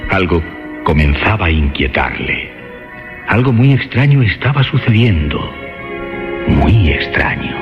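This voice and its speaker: A man's voice: sits low, sing-song, measured pace, pauses often. A man narrating a scripted horror radio drama in a solemn, literary storytelling manner. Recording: dull lo-fi track under loud music.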